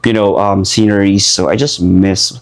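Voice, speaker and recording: A man's voice: low-pitched, steady tone, moderate pace. A young man from the Philippines hosting a casual music video reaction, chatty and rambling with lots of fillers and restarts. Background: music playing.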